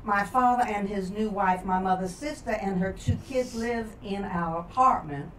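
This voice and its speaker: A woman playing a polite, matter-of-fact teenage boy, earnest and halting, as in a story reading. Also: medium pitch, fairly bright tone, natural voice. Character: polite, matter-of-fact teenage schoolboy explaining his tangled family. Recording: roomy echo, distant mic, background noise.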